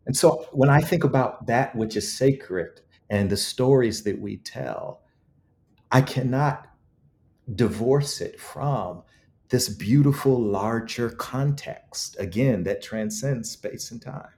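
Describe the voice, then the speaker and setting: A man's voice: mid-range voice, takes it slowly, darkish tone. A man speaking as an interview guest, reflective and eloquent, with emphatic, preacherly storytelling and rhetorical repetition. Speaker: guest author.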